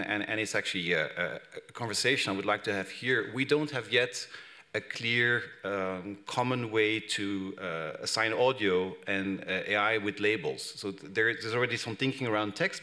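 A man in his thirties from Belgium answering questions on a conference panel, halting with many restarts, speaking into a table microphone in a large hall. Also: fairly low pitch, bright, forceful tone. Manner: hesitant, many restarts and fillers. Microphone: table microphone on stand.

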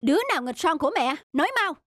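A woman playing a strict, quick-tempered but loving stepmother in a children's cartoon. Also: high-pitched, animated, a bit bright, no echo. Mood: angry scolding. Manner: scolding, stern. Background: background music.

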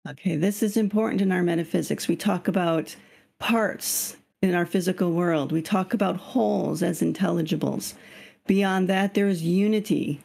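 A woman's voice: fairly low pitch, normal speed, vocal fry, dark tone, slightly rough. A woman leading an online reading group on a video call. Her voice is slightly scratchy, and she explains patiently and keeps asking the group questions. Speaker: study group leader.

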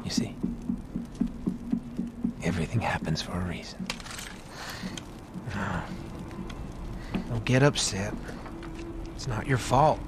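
An actor playing a calm, soft-spoken middle-aged American survivor leader in a video game, measured and halting, with quiet menace. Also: male voice, lowish voice, loud music behind the voice. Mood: quietly menacing. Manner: measured, deliberate, halting pauses. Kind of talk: naturalistic video game cutscene acting. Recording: clear background noise.

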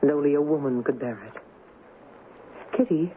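An actress playing a gentle, resigned middle-aged mother, genteel and confiding, in a 1970s radio drama. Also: low-pitched, measured pace. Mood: sorrowful, warning. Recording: muffled, noisy 1970s radio broadcast.

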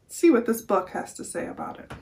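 A woman's voice: a little fast, warm tone, a little hiss or hum. A young American woman reading a picture book aloud to children. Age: young adult. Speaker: nutrition educator reading to children.

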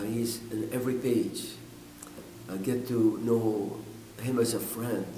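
An older man from Iraq tells his own story to the camera in a middle-pitched voice, sincere and a little halting as he restarts words. His speech rings in an echoey indoor room. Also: dark tone. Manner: earnest, halting, with repeated words and fillers. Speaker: pastor. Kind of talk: personal testimony to camera. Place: indoors, in front of a bookshelf. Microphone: camera at close range.

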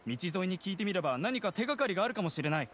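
A man's voice: sits high, big pitch swings, rapid-fire, fairly bright tone, a little hiss or hum. A man playing a friendly, helpful young townsman in a fantasy anime game, casual and concerned. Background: background music.

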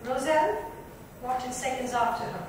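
A woman's voice: sits high, normal speed. A woman reading a book aloud as dramatic scripted narration. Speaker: reader. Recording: echoey room, distant, noisy.

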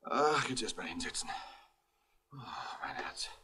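A man's voice: on the low side, sing-song, unhurried, sharp tone, heavily acted. A man playing a self-pitying, grumbling hypochondriac in a 1960s German radio crime drama, plaintive and fussy. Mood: straining, complaining. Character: whining hypochondriac son-in-law, put-upon, grumbling, self-pitying.

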